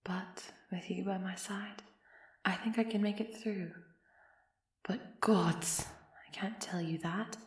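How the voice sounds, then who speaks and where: A woman's voice: mid-range voice, steady tone, slow pace, a little mellow, breathy edge, dry recording. A woman playing a young runaway elf heiress in an intimate roleplay audio drama, defiant yet anxious and affectionate, often sighing and sinking into whispers. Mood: anxious, tender. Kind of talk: roleplay ASMR audio drama, close and breathy.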